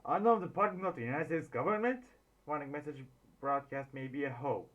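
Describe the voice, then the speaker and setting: A man's voice: on the high side, fairly quick, dull audio, noisy background. A man reacting casually to a video, joking and swearing freely.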